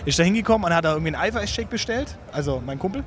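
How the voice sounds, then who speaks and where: A young man, telling anecdotes in a colloquial, halting, casual chat. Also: high-pitched, expressive, slight background noise.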